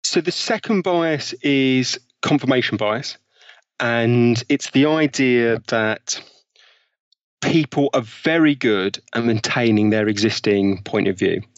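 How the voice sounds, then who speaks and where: A man's voice: mid-range voice, takes it slowly, no room sound. British man explaining, slightly dull recording. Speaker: interview guest, author on behavioral science. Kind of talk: conversational explaining with examples.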